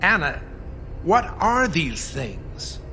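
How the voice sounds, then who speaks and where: A man's voice: a little high, big pitch swings, extremely slow, background noise, exaggerated voice. A man acting in a radio drama as a US president in a crisis, commanding and cautious, with a firm, authoritative manner. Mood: urgent, firm.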